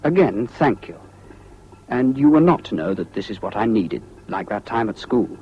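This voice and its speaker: A man's voice: lowish voice, expressive, normal speed, mellow, lightly acted. An actor with a British accent playing an earnest, troubled man voicing an apologetic letter in a 1970s radio drama, with precise delivery. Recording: dull, noisy old radio recording.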